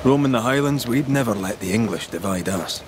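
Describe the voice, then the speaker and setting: A man playing a blunt, sardonic Highlander ghost hunter in a video game, with a Scottish accent and colloquial speech. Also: animated, fairly quick, noisy background, lightly acted. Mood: wry. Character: wry, blunt Highlander banisher.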